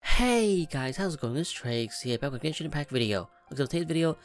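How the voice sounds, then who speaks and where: A man's voice: a little high, lively intonation, fairly quick, faint music behind. A man giving informal, conversational opinion commentary as the host of a gaming video.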